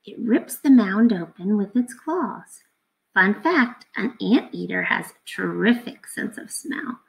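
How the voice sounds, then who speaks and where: A woman in her thirties reading a picture book aloud to children like a teacher, expressive and instructive, putting questions to her listeners. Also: mid-range voice, gravelly, poor audio.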